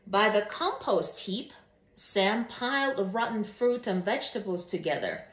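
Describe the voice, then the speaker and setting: A woman host speaking in an expressive, deliberate, enthusiastic storytelling manner for a children's read-aloud channel. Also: mid-range voice, roomy sound. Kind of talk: scripted-sounding channel introduction and animated children's story reading.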